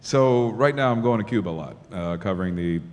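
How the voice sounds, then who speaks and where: Animated man at a panel, hall echo. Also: fairly low pitch, fairly quick. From Miami. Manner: animated, thinking aloud with many restarts. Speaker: panelist journalist. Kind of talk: panel discussion answer. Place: large conference hall. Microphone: table mic on stand. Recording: long hall reverb.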